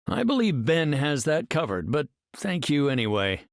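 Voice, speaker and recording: A man's voice: fairly high pitch, very animated, moderate pace, dry recording, clean studio sound. A man playing an earnest, brainy scientist superhero in a video game, speaking in a composed, formal, articulate way. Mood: calm, reflective. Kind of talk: video game hero voice lines.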